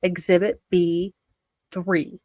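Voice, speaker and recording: A woman reading a court ruling aloud as comedy, haltingly, with exasperated asides. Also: lowish voice, flat delivery, mellow, no echo, clean studio sound. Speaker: reader in a comedy livestream.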